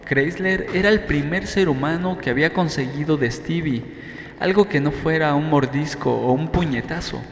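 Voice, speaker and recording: A male audiobook narrator reads scripted fiction in a mid-range voice. The sound is echoey with long reverb and a faint layer of noise behind it. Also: mid-range voice. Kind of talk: scripted first-person novel narration.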